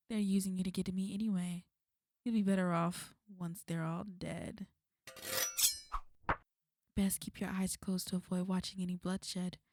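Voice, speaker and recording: Villainess, ASMR roleplay, played by a woman: dark relaxed tone, very dry close sound, cool threats with a smug, taunting edge. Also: lowish voice, no room sound. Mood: cold, taunting. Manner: taunting, coolly threatening, unhurried. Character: smug, menacing female villain, fond of an enemy.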